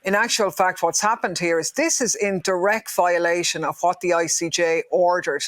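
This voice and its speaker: A woman as a guest politician in an interview, forceful and outraged, speaking firmly. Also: lowish voice, fairly even intonation, moderate pace, no room sound. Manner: forceful, outraged, firm and emphatic. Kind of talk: impassioned interview answers.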